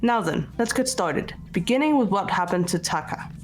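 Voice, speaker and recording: A woman playing a composed, logical student detective in an amateur game dub, speaking in a measured way over music. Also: mid-range voice, expressive, close to an everyday voice. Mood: calm, analytical. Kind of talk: scripted amateur visual novel voice acting. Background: background music.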